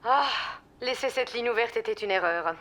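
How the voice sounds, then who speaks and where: Woman as a high, flustered official. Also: normal speed, noisy background, natural voice. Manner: flustered, evasive. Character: flustered, evasive female official on a call. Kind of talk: audio drama acting.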